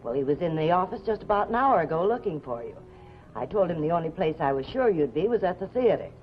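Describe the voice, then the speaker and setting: A woman's voice: lowish voice, big pitch swings, fast talker, a little hiss or hum. An actress playing a loyal, fussing woman secretary at a newspaper in a 1950s television drama. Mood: businesslike. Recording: dull 1950s TV soundtrack, music behind.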